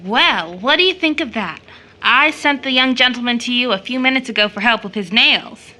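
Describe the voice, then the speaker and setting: A young motherly woman in an audio play, with a bright, fairly high voice. She is fond and playful, teasing and gently correcting as she goes. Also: lively intonation, normal speed. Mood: amused, chiding. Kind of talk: stage play audio drama acting.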